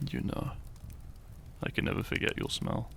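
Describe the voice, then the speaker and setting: A man playing a shy, tender young hero in love in a romantic audio roleplay, speaking gently and intimately. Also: a little hiss or hum, lightly acted. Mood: affectionate, playful. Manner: slow, gentle, intimate, halting.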